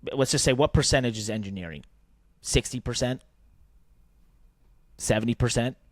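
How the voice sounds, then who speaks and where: A man's voice: mid-range voice, moderate pace, no echo. Man talking shop, close dry sound. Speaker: podcast co-host. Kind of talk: casual sports business discussion.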